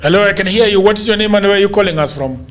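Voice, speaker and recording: A man's voice: sits high, very fast, slight background noise. A man with a Kenyan accent hosting a political call-in panel show, mixing English and Swahili. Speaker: host of a political talk show with call-ins.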